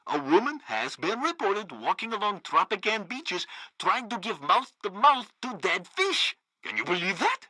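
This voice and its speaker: Gleefully loyal state radio host: bright, forceful, swooping delivery, pompous and sycophantic, game news satire. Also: male voice, high-pitched, dry recording, heavily acted. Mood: gossipy. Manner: grandiose, sycophantic.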